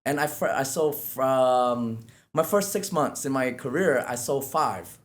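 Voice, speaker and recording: Man, Vietnamese accent, native Vietnamese speaker, mid-range voice, earnest, halting and repetitive, casual podcast guest. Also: mid-range voice. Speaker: interview guest, real estate agent.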